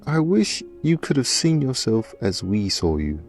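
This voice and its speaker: An affectionate companion dog, acted by a man for a radio play, speaks in a gravelly, lively voice. The delivery is effusive and sentimental, with theatrical swoops over faint music. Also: mid-range voice, unhurried. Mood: earnest, tender. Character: devoted pet dog, affectionate, dramatic, sentimental male companion.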